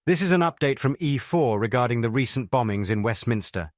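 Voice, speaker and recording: A man's voice: medium pitch, lively intonation, no echo. Man, formal emergency TV announcer, dry studio sound. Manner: formal, measured. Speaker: television continuity announcer. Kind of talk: scripted emergency news announcement.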